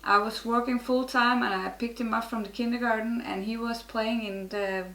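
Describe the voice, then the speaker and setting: Give me a frank middle-aged Norwegian woman talking in English, slightly high voice, in a reverberant room. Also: fairly even intonation, moderate pace, fairly bright tone. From Norway. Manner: frank, restarts often, laughs at herself. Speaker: guest, network marketer. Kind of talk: casual interview chat.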